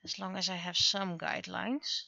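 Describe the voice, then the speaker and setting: A woman's voice: fairly low pitch, moderate pace, gravelly, pushed and bright, no room sound, lo-fi sound. A woman chatting casually on a livestream while she draws, musing half to herself and trailing off. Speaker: livestreaming webcomic artist.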